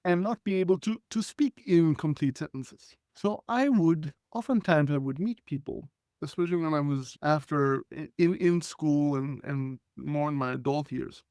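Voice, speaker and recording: A man whose first language is Hebrew, telling his story in a reflective, articulate way with frequent restarts and throat clearing. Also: fairly high pitch, moderate pace, darkish tone, dry recording, clean studio sound. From Israel. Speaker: guest. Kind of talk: conversational storytelling.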